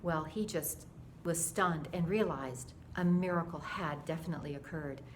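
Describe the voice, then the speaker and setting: A woman's voice: sits low, normal speed. Earnest, dramatic woman storyteller, noisy background. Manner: earnest, dramatic, acts out dialogue. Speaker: storyteller sharing a personal faith testimony. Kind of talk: animated personal storytelling to camera.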